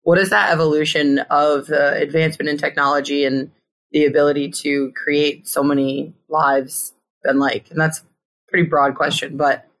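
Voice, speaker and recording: A woman hosting a podcast, with a notably low-pitched voice. She is chatty and playful, keeping the interview light. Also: level tone, normal speed, a bit bright, rough recording. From Connecticut. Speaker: podcast host.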